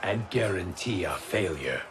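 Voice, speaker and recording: Sly, animated sorcerer. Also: male voice, low voice, a little slow. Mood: knowing, wry. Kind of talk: cinematic video game acting. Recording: loud music behind.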